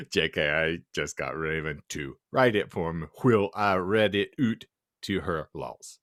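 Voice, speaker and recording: A man's voice: sits low, very animated, a little slow, a bit bright, voice pushed down, heavily acted. A man voicing a bratty, foul-mouthed teenage goth girl in a comedic fan fiction reading, slangy, indignant and melodramatic. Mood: smug lecturing.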